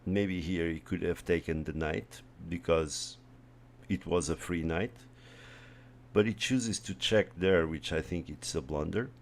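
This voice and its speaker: A deep, unhurried man born in Portugal, whose first language is Portuguese, talks through his chess game for a small stream audience. He thinks out loud in a relaxed, casual way. His remarks often trail off into softer asides. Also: slight background noise. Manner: slow, thinking aloud, trails off into quiet asides. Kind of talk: casual live commentary over a chess game.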